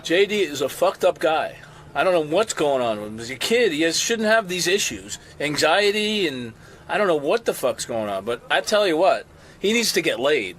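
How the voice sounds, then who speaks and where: A man's voice: a little high, very animated, normal speed, fairly bright tone, a little hiss or hum. Blunt, foul-mouthed man. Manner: blunt and profane. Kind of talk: casual interview comment.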